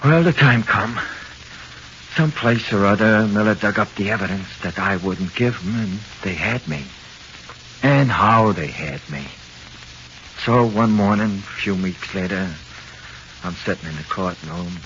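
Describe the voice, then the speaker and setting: A man's voice: fairly low pitch, measured pace, fairly bright tone. An actor playing a haunted, nervy small-time crook who talks in plain, ungrammatical tough-guy slang, in 1940s radio drama. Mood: haunted recounting. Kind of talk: 1940s radio drama acting, first-person narration. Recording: muffled, noisy 1940s radio recording.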